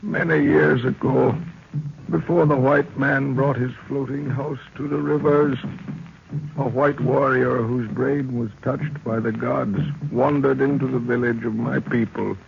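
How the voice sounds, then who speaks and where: An actor playing a dying, wise old African village elder, speaking solemnly and archaically in an old-time radio drama. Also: male voice, medium pitch, steady tone, creaky. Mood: grave, foreboding. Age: older. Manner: solemn, slow, archaic storytelling. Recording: dull, noisy vintage radio recording.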